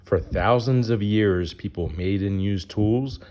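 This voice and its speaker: A man's voice: lowish voice, unhurried, warm tone, highs cut off. A man reading a rhyming children's picture book aloud in a sing-song way, putting questions to young listeners.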